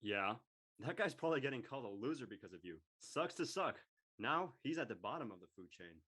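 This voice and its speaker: A man playing a brash, image-obsessed high-school boy in an amateur script reading, blunt and mocking. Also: expressive, fairly quick, bright, forceful tone, breathy edge. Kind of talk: amateur audio drama table read.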